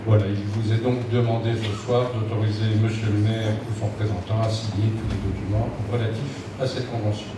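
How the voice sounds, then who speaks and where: A man formally presenting a motion as deputy mayor at a council meeting, in a halting, procedural way. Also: on the low side, steady tone. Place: large indoor council meeting room. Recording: echoey hall from far off, loud noise.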